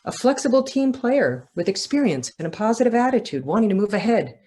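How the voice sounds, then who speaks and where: A woman's voice: on the high side, very animated, a little fast, dark tone, voice pushed up. A woman reading the thoughts of a sardonic, weary working-class mother from a novel, mocking official jargon.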